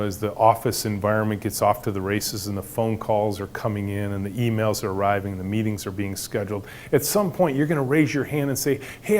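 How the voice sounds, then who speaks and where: A middle-aged man with a big booming voice, telling workplace stories to an audience and acting out the dialogue with animation. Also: slight background noise. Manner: animated storyteller acting out dialogue, some restarts. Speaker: company leader giving a talk. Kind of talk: storytelling talk to an audience.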